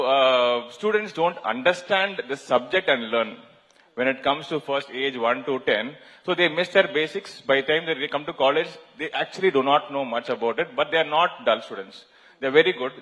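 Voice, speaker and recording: A man with an Indian English accent answering a panel question, halting often with fillers and restarts. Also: mid-range voice, bright, forceful tone. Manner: hesitant, many fillers and restarts. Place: large conference hall. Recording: reverberant hall.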